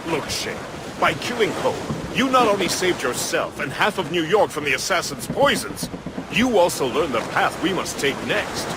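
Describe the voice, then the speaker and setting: An actor playing a loyal, jovial first mate with a florid, wry, theatrical way of talking in a period game. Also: male voice, on the high side, big pitch swings, moderate pace. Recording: waves and ship noise behind voice.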